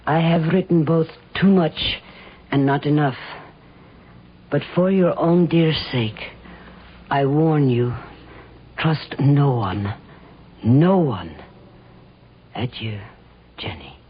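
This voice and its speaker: An actress playing a frightened, secretive woman reading a letter in a radio drama, with a husky voice. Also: low-pitched, slow pace, pauses often, slight background noise. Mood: grave warning. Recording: dull old radio broadcast.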